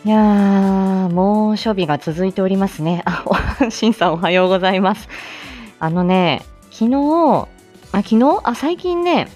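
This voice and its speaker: A woman's voice: medium pitch, lively intonation, measured pace, a little mellow, soft background music. A woman hosting a live audio show, chatting casually and politely through morning announcements.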